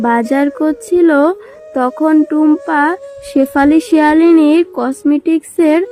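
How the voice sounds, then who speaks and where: High-voiced woman narrating a story. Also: darkish tone.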